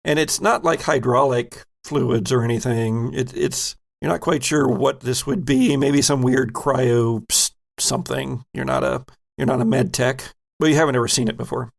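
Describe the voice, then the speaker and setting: Gravelly-voiced male game master. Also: medium pitch. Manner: laid-back, wry, pauses in his narration. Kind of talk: narrating a tabletop game.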